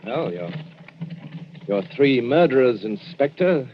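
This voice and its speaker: A man's voice: medium pitch, very animated, slow pace, slight background noise. A man playing a genteel, respectable doctor, politely formal and a little hesitant, in a 1940s radio drama. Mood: puzzled, hesitant. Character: genteel, respectable male doctor, coolly polite under suspicion. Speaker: actor. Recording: muffled vintage 1940s radio recording.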